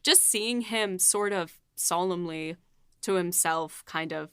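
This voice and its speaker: A woman's voice: lowish voice, slow pace, smooth, dry recording, clean studio sound. A woman writer on a podcast, talking thoughtfully and rambling a little about her writing. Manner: thoughtful, rambling, soft-spoken. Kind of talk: casual behind-the-scenes chat.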